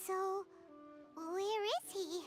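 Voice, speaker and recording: Tiny, squeaky, very high-pitched fairy. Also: female voice, fairly even intonation, drawn-out words, exaggerated voice. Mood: eager, curious. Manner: squeaky, exclamatory, childlike. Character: tiny excitable childlike female fairy companion. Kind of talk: scripted video game dialogue. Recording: loud game music behind the voice. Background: loud game music.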